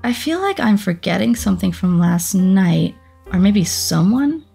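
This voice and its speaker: A woman's voice: mid-range voice, sing-song, a little slow, gravelly, mellow, breathy edge, full character voice. A woman voicing a sassy, vain succubus popstar in a cartoon comic dub, with a sultry, sardonic drawl. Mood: wry. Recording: music behind the voice.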